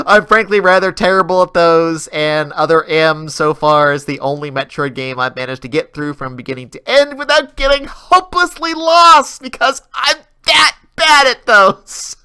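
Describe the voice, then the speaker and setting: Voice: mid-range voice, bright, forceful tone, clean tone. A gamer giving a rambling, casual update in a self-deprecating way. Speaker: video game Let's Player.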